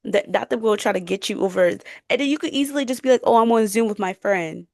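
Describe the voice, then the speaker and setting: A woman's voice: mid-range voice, fast, dry recording, studio quality. A young woman co-hosting a podcast in casual, chatty, slangy conversation. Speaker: podcast co-host.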